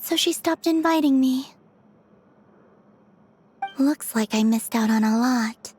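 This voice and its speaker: A woman playing a gentle, naive, wistful young lady in a video game, innocent and reflective. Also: sits high, slow pace, a little hiss or hum. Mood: gently curious.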